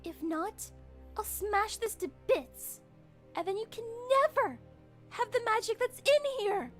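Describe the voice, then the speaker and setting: Woman voicing a very high-voiced gentle young princess. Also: expressive, a little slow. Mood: defiant. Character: gentle, earnest young princess, soft-spoken yet brave. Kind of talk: animated fan drama voice acting. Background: music.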